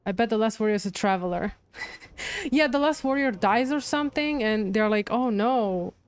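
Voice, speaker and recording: A woman's voice: on the high side, animated, normal speed, clear, resonant tone. A woman livestreamer giving casual commentary on a game's story, thinking aloud as she reacts. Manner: thinks aloud, repeating and musing. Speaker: game livestreamer. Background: game music.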